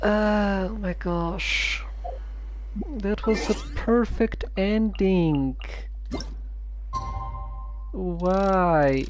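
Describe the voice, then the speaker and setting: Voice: mid-range voice, soft background music. A streamer giving casual, musing commentary while playing a story game. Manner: slow, musing.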